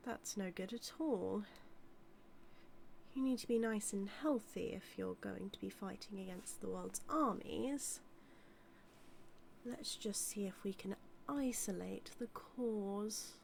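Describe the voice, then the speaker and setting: A woman's voice: mid-range voice, takes it slowly, a little hiss or hum. A woman playing a motherly mad scientist villain with a British accent, speaking fondly and theatrically to her monster in an intimate audio roleplay. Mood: puzzled, concerned. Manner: fond, theatrical, musing, softly dipping into breathy asides. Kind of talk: scripted roleplay audio, intimate monologue to listener.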